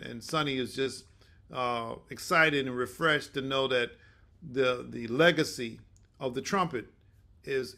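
An older man hosting an online session informally, speaking haltingly with many restarts. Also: medium pitch, bright, forceful tone. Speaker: host and mentor. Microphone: video call.

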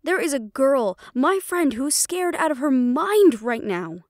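A woman's voice: sits high, sing-song, a little slow, dry recording, clean studio sound. A woman playing a defiant, loyal young banshee daughter in an audio roleplay, sarcastic and casual. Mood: angry, defiant.

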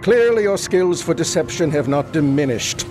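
A man's voice: on the high side, lively intonation, moderate pace, over music, natural voice. A man playing a haughty, bitter sea prince villain in a video game, speaking formally with theatrical disdain. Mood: contemptuous.

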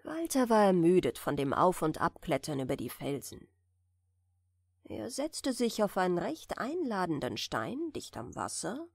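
Soft soothing lady reading a fairy tale for sleep, slow, lilting, bit of creak, dry close sound. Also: mid-range voice, no room sound. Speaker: audiobook reader. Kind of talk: gentle bedtime fairy tale narration.

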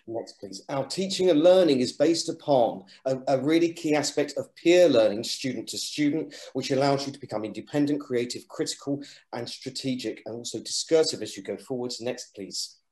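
A man presenting an architecture course over slides, halting now and then with fillers and restarts. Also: mid-range voice, lively intonation, darkish tone, echoey room. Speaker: architecture lecturer presenting an open day.

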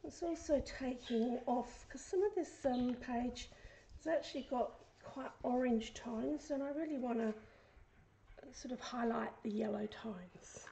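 A croaky, hoarse woman talks through a craft tutorial at her desk, slipping into soft whispers as she works. Her voice sits a little high yet sounds dark and gravelly. A faint hiss lingers behind her. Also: a little slow, low-quality recording. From Australia. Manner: chatty, often drops to a whisper while working. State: hoarse, recovering from illness. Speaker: craft vlogger. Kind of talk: casual craft tutorial narration. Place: craft desk indoors, fan running.

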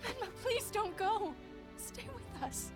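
A woman's voice: extremely high pitch, animated, takes it slowly, music in the background, exaggerated voice. A woman playing a distressed, pleading teenage girl in an English anime dub. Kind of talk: English-dubbed anime acting.

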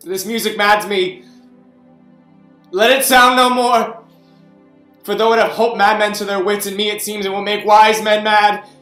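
A young man playing a deposed, imprisoned king, speaking slowly and dropping to whispers between bursts of anger. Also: high-pitched, steady tone, roomy sound, faint music behind. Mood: brooding. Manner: slow, swings from hushed whispers to outbursts. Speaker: actor in a staged reading. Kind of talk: Shakespeare reading over video call. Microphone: video call webcam.

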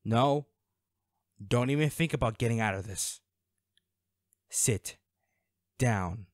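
An actor playing a protective, worried male bodyguard in an intimate, dramatic audio roleplay, with halting, emotional delivery. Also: mid-range voice, no echo, clean studio sound, lightly acted. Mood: stern, restrained.

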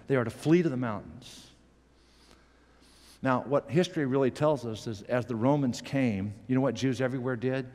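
A middle-aged man preaching a sermon from a church stage on a headset mic, animated, swinging from loud emphatic peaks to quiet low asides. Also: in a large hall. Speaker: pastor.

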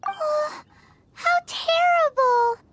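A woman voicing a tiny, cheeky fairy companion in an anime-style game, with a squeaky childlike voice and cute, blunt delivery. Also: drawn-out words, a bit bright, no echo, full character voice. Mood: dismayed.

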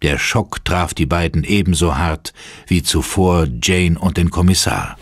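A man's voice: low-pitched, a little slow, breathy edge, dry recording, clean studio sound. A man narrating a horror audio drama in a dramatic, scripted style.

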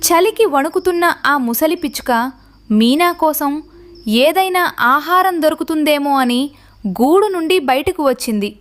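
A woman's voice: high-pitched, lively intonation, moderate pace. A woman narrator reading a scripted story for children.

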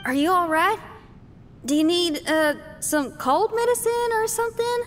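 A woman's voice: very high voice, expressive, a little slow, big-room sound. A woman playing a plucky, friendly young female rock musician in a video game. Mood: concerned. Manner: casual, plucky. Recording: game audio with faint background noise.

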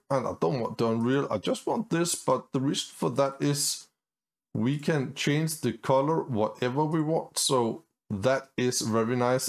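Upbeat, slow-talking gamer man. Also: medium pitch. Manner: upbeat, easily excited, many fillers. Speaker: gaming channel host. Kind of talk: casual let's-play commentary.

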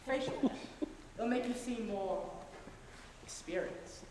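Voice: mid-range voice, a little slow, close to an everyday voice. A performer playing a persuasive, domineering older-hand political mentor, coaxing and patronizing, in an amateur stage comedy. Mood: scheming, persuasive. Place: large theater stage, distant camera. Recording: very echoey theater, faint noise.

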